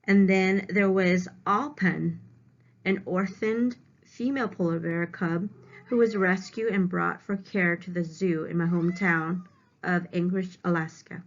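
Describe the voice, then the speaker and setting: A woman with a lowish voice reads aloud in the manner of a schoolteacher. She pauses to define words in simple terms for children. The sound is slightly muffled and lo-fi, like a homemade lesson recording. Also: steady tone. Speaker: elementary teacher reading aloud to students. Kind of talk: read-aloud lesson with simple word explanations. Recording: dull, lo-fi home recording.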